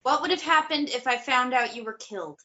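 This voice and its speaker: This is a woman voicing a protective young woman, earnest and insistent. Her voice is bright and pitched a little high. The recording is muffled. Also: brisk.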